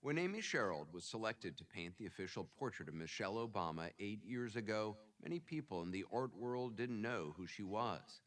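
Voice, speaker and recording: A man narrating a scripted news feature in a measured, formal manner. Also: on the low side, big pitch swings, a bit bright. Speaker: broadcast news reporter. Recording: distant sound from a clip played back.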